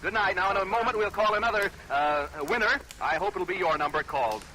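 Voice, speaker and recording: A man's voice: sits high, animated, fast, pushed and bright, a little hiss or hum, low-quality recording. An American man hosting a 1940s live radio quiz show with chatty, showman-like patter, on an old broadcast recording. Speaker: radio game show host.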